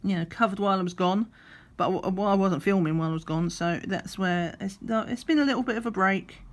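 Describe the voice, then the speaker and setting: A middle-aged woman, slightly croaky, chatting in a rambling way while doing a craft, sometimes counting under her breath. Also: medium pitch, fairly quick. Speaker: crafting vlogger. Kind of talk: relaxed chatty craft vlog. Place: at a craft table indoors.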